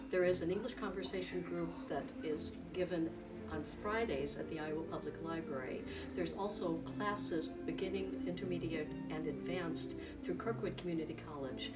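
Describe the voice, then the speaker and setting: A woman's voice: fairly low pitch, distant mic, rough recording. A woman hosting a group, introducing people and asking them friendly questions. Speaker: group organizer and host.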